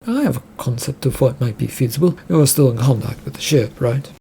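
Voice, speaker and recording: Gravelly, scheming businessman voiced by a man. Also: mid-range voice, expressive, fairly quick, dark tone, exaggerated voice. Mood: confident. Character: scheming, well-connected businessman, wry and confident.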